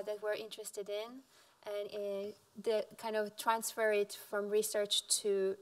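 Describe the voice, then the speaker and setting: Female architect from Israel, even-pitched and flat, halting slide presentation at a podium. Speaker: architect giving a talk. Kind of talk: lecture with slides. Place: dark auditorium stage at a podium.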